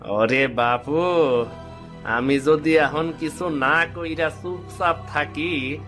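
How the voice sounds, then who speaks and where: A man's voice: a little high, bright, forceful tone. A man playing a sly, envious village sugarcane thief in a cartoon moral story, with a rural Bangladeshi Bengali dialect and a wheedling manner. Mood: scheming.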